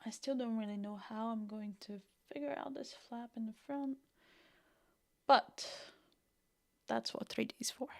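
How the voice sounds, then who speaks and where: Slow, gravelly Brazilian woman teaching. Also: fairly high pitch, darkish tone. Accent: Brazilian with a Northern Irish mix. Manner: chatty, thinks aloud while working, mutters to herself. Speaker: 3D fashion design tutor. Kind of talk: livestream software tutorial.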